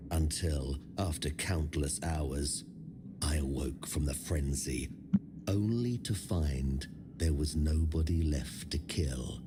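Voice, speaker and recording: An actor playing a weary, haunted lone survivor in a dark fantasy game, brooding and theatrical, with hushed, breathy phrase endings. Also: male voice, extremely low pitch, noisy background.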